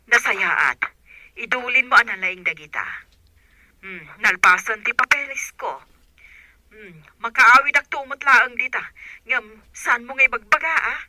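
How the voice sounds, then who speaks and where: Secretive aunt for radio drama, played by a woman: piercingly bright, mid pitch, measured, on a faintly noisy call. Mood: cautious, instructing. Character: secretive older female aunt, cautious, instructing. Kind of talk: Ilocano audio drama acting.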